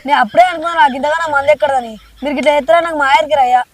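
A man plays a broke village youth with a Telangana Telugu accent who complains and schemes. His voice is very high and extremely quick. It sounds picked up from a distance with a faint hiss. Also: steady tone, faint music behind. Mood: grumbling. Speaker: comedy skit actor.

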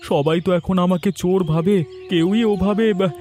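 Guy playing a wronged village big brother in a cartoon, high voice, aggrieved dramatic talk, faint music behind. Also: fairly even intonation, moderate pace, mellow, lightly acted. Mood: downcast. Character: wronged, hurt village elder brother accused of theft.

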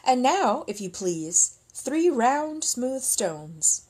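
Lady doing a sly cheery soldier from a picture book, coaxing, really swoopy, bit husky. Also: extremely high pitch, big pitch swings, extremely slow, voice pushed up, exaggerated voice. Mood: politely commanding. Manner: coaxing, exclamatory, mock-wistful. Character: crafty cheerful soldiers coaxing villagers, storybook.

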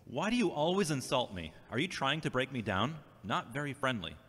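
A man's voice: mid-range voice, big pitch swings, brisk, fairly bright tone, slightly rough. A man acting an earnest, troubled and devout man in an amateur audio drama. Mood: defensive. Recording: echoey hall with long reverb.

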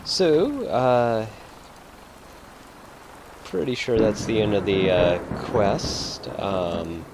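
Slow, laughing man playing a game. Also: on the low side, expressive, noisy background. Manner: slow, laughing, filler-heavy. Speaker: gamer. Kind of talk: let's play commentary. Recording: loud game rain and thunder behind voice.

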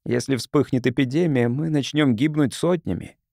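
A man's voice: medium pitch, normal speed, gravelly, a little mellow, dry recording, clean studio sound, close to an everyday voice. A man voicing an anxious, haughty colony councillor in an audiobook, speaking formally with vehement disdain. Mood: worried.

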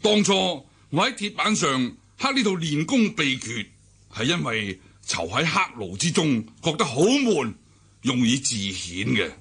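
An actor with a Hong Kong accent playing a domineering, cunning older cult leader in a radio drama, theatrical and raspy. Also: male voice, on the high side, sing-song, a little slow, a bit bright, full character voice. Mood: explaining. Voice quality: raspy, gravelly. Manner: theatrical, commanding. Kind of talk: Cantonese radio drama acting.

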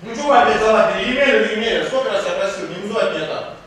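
An actor playing a spoiled, lazy young son, cocky and whiny, in a children's stage comedy. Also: male voice, sits high, animated, very fast, a crowd in the background. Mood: whiny, indignant. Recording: echoey theatre hall, distant mic, noisy.

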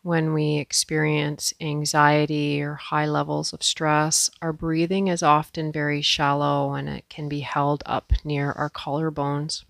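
Female yoga instructor for guided meditation; gentle, pausing delivery, noticeable vocal fry, very dry sound. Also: low voice, completely flat delivery, no room sound. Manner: gentle, unhurried, with pauses.

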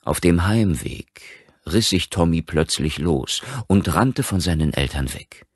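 A male audiobook narrator with a deep voice reads a horror story. His delivery is husky and animated, with lively movement in pitch. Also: a little slow, dry recording, studio quality.

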